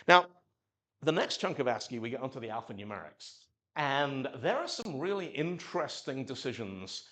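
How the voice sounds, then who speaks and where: A man from Zimbabwe giving a live technical talk at a meetup, telling stories and acting out quotes in little voices. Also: medium pitch. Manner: animated storytelling, acts out quotes in voices. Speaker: conference speaker.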